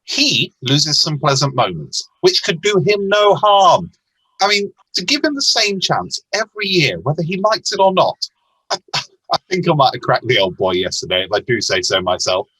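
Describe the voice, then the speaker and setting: Cheerful young gentleman, acted by a man, kindly, very animated, bright, husky, amateur radio drama. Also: fairly high pitch, moderate pace, full character voice, poor audio. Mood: pitying, good-humoured. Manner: animated, theatrical. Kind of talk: amateur radio play acting.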